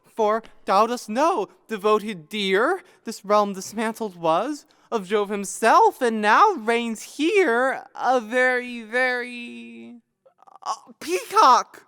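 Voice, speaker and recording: A woman's voice: on the high side, expressive, takes it slowly, a bit bright, clear, resonant tone, dry recording, studio quality, full character voice. A woman playing a young, feverish, mocking prince in a Shakespearean audio drama, theatrical and sardonic. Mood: manic, declaiming.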